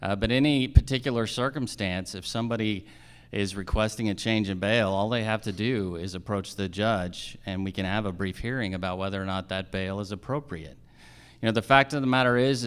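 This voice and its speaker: A man with a fairly low, clear and resonant voice speaks as a candidate at a public forum. He lays out his position in plain, practical terms, explaining step by step. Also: brisk. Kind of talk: political forum answers.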